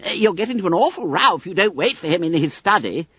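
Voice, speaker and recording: A man voicing a fat, greedy schoolboy fibber in a story reading, squeaky, stammering, spluttering and wheedling. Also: lively intonation, quick pace, breathy edge, dry recording, muffled recording, full character voice. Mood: flustered, evasive.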